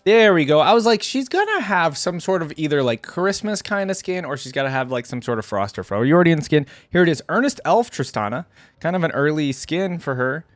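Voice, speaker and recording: A man's voice: on the high side, big pitch swings, brisk, no echo. A man giving casual, off-the-cuff reaction commentary, thinking aloud in a chatty way with informal slang. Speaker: host of a reaction video.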